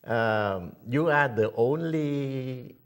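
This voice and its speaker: Slow, halting man interviewer. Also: mid-range voice, clean tone. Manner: halting, searching for words, nonnative phrasing. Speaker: interviewer, local TV host. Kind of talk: interview questions.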